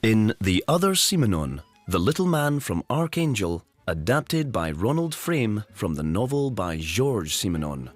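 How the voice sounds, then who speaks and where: A man's voice: on the low side, very animated, measured pace, hardly any pauses, dry recording. A man working as a radio announcer, reading scripted closing credits. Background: music.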